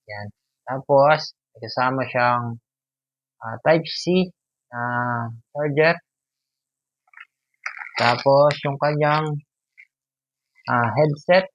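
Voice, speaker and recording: A man with a Filipino accent hosting a casual unboxing vlog, explaining things in halting, piecemeal phrases. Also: mid-range voice, no echo, dull audio. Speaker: YouTube host, product affiliate.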